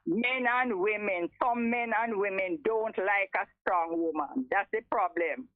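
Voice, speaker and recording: A woman's voice: medium pitch, measured pace, no echo. A 77-year-old woman with a Jamaican accent and a strong voice, speaking emphatically and repeating phrases as an impassioned radio caller. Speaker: radio call-in listener. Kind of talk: impassioned live phone-in talk. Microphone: telephone. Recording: thin, narrow telephone line.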